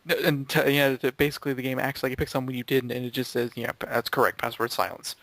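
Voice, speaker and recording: A man's voice: mid-range voice, steady tone, fast talker, vocal fry, fairly bright tone, no room sound. A man in casual joking banter, with a goofy, incredulous manner. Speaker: member of a gaming video group.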